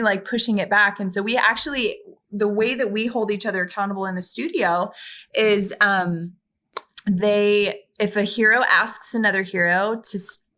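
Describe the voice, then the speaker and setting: A woman's voice: medium pitch, pushed and bright. A woman in her thirties, a kindergarten teacher, chatting expressively on a video call from her classroom, often restarting her sentences. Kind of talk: casual video-call interview. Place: classroom with windows.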